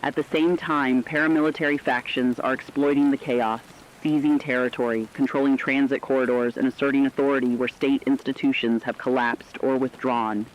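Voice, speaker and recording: A man playing a serious radio crisis reporter, reading scripted emergency news in a formal, measured manner. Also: medium pitch, steady tone, lightly acted. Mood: grave, sober. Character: sober radio crisis reporter in fictional war broadcast. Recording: dull radio sound with rain noise.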